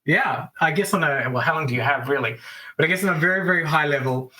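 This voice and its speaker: A middle-aged man with an Australian accent, a business coach chatting as a guest over a remote call, upbeat with quick asides. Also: a little high, bright, forceful tone, poor audio. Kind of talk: casual interview storytelling.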